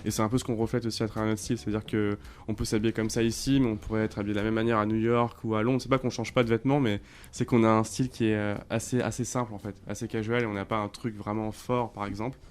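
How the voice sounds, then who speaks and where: A man in his thirties, from Côte d'Ivoire, co-founder of a sneaker brand, chatting casually and relaxed in an interview, with lots of fillers and restarts. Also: fairly low pitch, fast, clear, resonant tone. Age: 30s.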